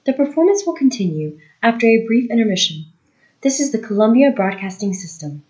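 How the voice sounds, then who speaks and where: A woman's voice: a little high, sing-song, a little fast, darkish tone, lo-fi sound. A woman playing a network radio announcer, reading a formal scripted announcement in 1930s radio style. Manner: formal, presentational.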